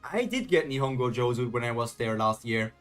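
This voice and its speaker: A man's voice: medium pitch, lively intonation, fairly quick, a bit bright, faint music behind. A man chatting casually while reacting to a webtoon on a live stream and reading chat. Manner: chatty, self-questioning, reads chat aloud.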